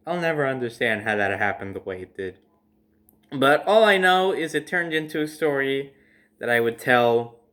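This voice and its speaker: A young adult man telling a casual personal story as host, in a dramatic, emphatic manner with drawn-out phrases. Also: medium pitch, a bit bright.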